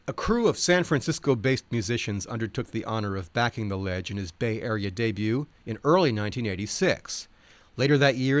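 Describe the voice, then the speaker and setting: A man delivers scripted narration with a wry storyteller's touch. His mid-range voice moves around a great deal in pitch and is recorded very dry, with no room sound. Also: no room sound. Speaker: audiobook reader. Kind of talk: scripted nonfiction narration with acted quotes.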